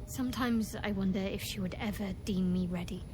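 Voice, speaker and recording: An actress playing a guarded, devout cleric in a fantasy video game, speaking in a measured, confiding and solemn way. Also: female voice, medium pitch, noisy background, lightly acted. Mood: solemn, wistful. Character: guarded, devout female cleric companion, secretive and earnest.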